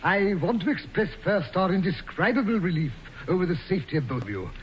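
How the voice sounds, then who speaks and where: A man's voice: high-pitched, lively intonation, quick pace. An actor playing a fussy, flustered clergyman, hesitant, genteel and pleading, in a 1940s radio comedy style. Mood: earnest, dismayed. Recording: muffled old radio broadcast with hiss.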